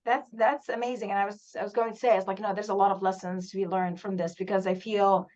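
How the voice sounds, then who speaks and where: A woman's voice: mid-range voice, level tone, fast. A woman hosting an interview, affirming her guest and talking casually with frequent fillers. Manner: warm, affirming, frequent "you know" fillers. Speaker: podcast host, interviewer. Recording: slightly dull audio.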